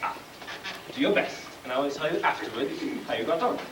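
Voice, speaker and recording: A man's voice: medium pitch, lively intonation, fairly quick, lightly acted. A man playing a pompous, exasperated storybook king in a stage comedy, speaking with dry deliberation. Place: school stage, live play performance. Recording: distant, noisy, echoey room recording of play.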